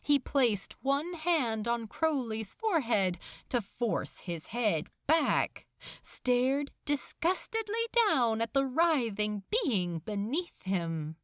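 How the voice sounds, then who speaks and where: A woman reading fiction aloud as a narrator, in a theatrical, intense and deliberate manner. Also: a little high, big pitch swings, no room sound, clean studio sound. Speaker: podfic narrator. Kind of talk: dramatic scripted fiction narration.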